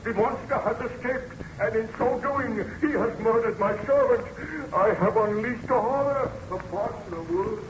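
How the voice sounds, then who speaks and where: A man's voice: high-pitched, normal speed, few pauses, natural voice. An actor playing an anguished baron scientist haunted by his creation, in formal, melodramatic 1930s radio drama style. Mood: horrified. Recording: muffled, noisy old radio recording.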